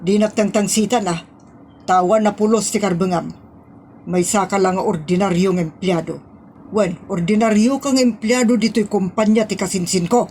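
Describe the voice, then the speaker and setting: A woman's voice: medium pitch, steady tone, normal speed, darkish tone, lightly acted. A woman with a Filipino accent playing a spiteful, haughty office superior, scornful and confrontational in a radio-style drama. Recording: faint noise and clicks.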